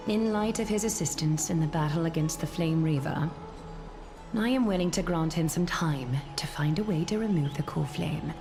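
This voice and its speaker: A woman playing a stern, regal female leader in a fantasy game, formal, measured and resolute. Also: deep voice. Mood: cold resolve. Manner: formal, solemn, deliberate. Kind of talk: dramatic fantasy game voice acting. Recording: game music behind voice.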